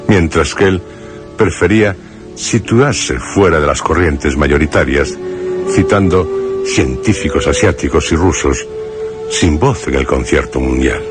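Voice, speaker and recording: A man from Spain, with a Spanish accent, playing a brooding, haunted narrator in a suspense radio drama, speaking solemnly and deliberately with dramatic pauses. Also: very deep voice, exaggerated voice. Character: brooding, haunted man recounting his horrifying memories. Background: music behind the voice.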